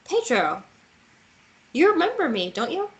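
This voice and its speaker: A woman's voice: high-pitched, huge pitch swings, measured pace, heavily acted. An actress playing a determined, teasing and wistful young woman in a fantasy romance table read. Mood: pleading.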